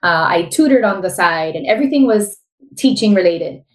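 A woman's voice: mid-range voice, animated, moderate pace, reverberant. A woman in her thirties with a Miami accent, a guest telling her story openly in a casual interview. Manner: open, candid storytelling.

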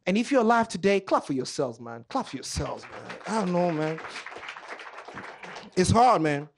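A man from Kenya doing stand-up comedy on a club stage, loud and animated, joking with the crowd. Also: high voice, normal speed, gravelly, slight background noise.

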